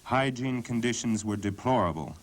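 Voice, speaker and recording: A man reading scripted documentary narration in a measured, sober way. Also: medium pitch, lively intonation. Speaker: documentary narrator and voice-over translator.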